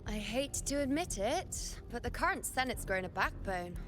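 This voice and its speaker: A woman's voice: fairly high pitch, big pitch swings, moderate pace. An actress playing a confident, playful and brash young warrior woman in a video game. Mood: determined. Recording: loud music behind.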